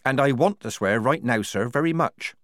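A man's voice: mid-range voice, lively intonation, moderate pace, a bit bright, no echo, studio quality, pitched above the performer's own voice, lightly acted. A man voicing a weary, plain-spoken young woman soldier in a storybook, earnest and resigned. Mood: exasperated confession.

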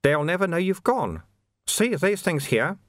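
Man playing a high, lilting, chatty monk. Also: very animated, measured pace, fairly bright tone, no echo, studio quality, full character voice. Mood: soothing. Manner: casual, soothing, lightly teasing explanations. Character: calm, chatty, placating monk guide, older man. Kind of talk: audiobook character dialogue.

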